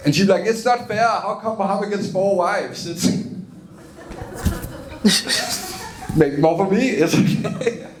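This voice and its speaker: A man's voice: high voice, slow, pauses often, a little hiss or hum. A young man from Denmark with a Danish accent, doing a loose, chatty stand-up set on a club stage and laughing at his own jokes. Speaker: stand-up comedian.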